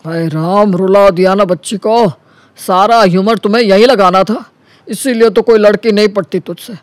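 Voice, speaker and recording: A man voicing a cocky, smooth-talking young man who flatters elders in an animated story. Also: high voice, normal speed, no room sound, natural voice. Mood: teasing. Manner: glib, boastful, smooth-talking. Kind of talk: animated Hindi story character acting.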